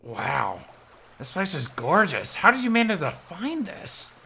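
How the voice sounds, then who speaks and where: A man's voice: high-pitched, wildly swooping pitch, measured pace, gravelly, sharp tone, a little hiss or hum, voice pushed up, exaggerated voice. A man voicing a naive, flustered young male bat who stammers in disbelief and embarrassment in a visual novel reading. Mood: awed. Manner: stammering, incredulous, easily embarrassed.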